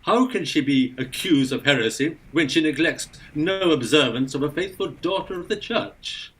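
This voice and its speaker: A man acting an excitable medieval English priest in a radio play. The voice is fairly high and sharp, with lively swings in pitch. He is an earnest, hot-headed patriot with formal diction. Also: normal speed. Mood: chastened, earnest. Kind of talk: stage-style radio drama acting.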